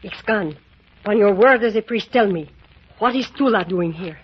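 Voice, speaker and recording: Husky, intense señora, acted by a woman. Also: medium pitch, normal speed, natural voice. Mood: anxious, urgent. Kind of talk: old-time radio drama acting. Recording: muffled vintage radio recording.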